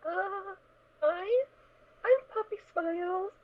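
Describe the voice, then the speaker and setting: Tiny high-voiced pony filly. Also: female voice, slow pace. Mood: innocent, chatty. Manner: childlike, earnest, hesitant. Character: sweet, naive, excitable little girl pony foal. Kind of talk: fan audio drama acting. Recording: tinny, narrow phone-like sound.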